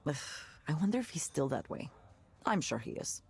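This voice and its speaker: An actress playing a candid, jaded former tech worker in a visual novel, conversational and sardonic with disgusted asides. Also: female voice, low-pitched, sing-song, a little slow, creaky, slightly rough, exaggerated voice. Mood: incredulous, disgusted. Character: candid, jaded woman ex-tech worker telling a wry story. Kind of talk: naturalistic visual novel voice acting.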